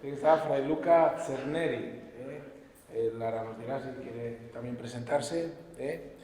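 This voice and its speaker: A man's voice: mid-range voice, measured pace, dark tone. An older man hosting a talk series, introducing the guest speaker in a chatty way. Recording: echoey hall.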